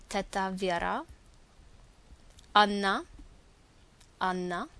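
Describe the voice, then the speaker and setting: A young adult woman with a Slovak accent, whose first language is Slovak, slowly pronouncing names and repeating each word twice for a dialect recording. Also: medium pitch, fairly bright tone. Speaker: dialect archive speaker. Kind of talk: reading names aloud for a pronunciation recording.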